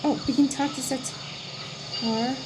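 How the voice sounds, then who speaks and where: A woman's voice: high-pitched, fairly even intonation, takes it slowly, background noise. A woman giving casual playthrough commentary as a gamer, in brief reactions to the game.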